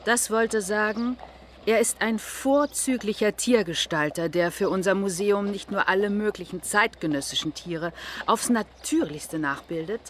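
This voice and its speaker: An actress plays a dignified mother of high society in a radio play. She speaks at a middle pitch with formal composure. Her manner is majestic and mildly admonishing. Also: unhurried, slight background noise, close to an everyday voice. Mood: stately, courteous. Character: dignified, majestic upper-class mother, gently reproving.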